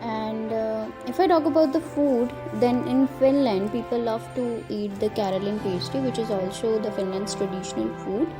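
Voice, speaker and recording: A woman's voice: on the high side, normal speed, few pauses, over music. Woman giving a class presentation. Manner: listing points with fillers. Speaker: student presenter.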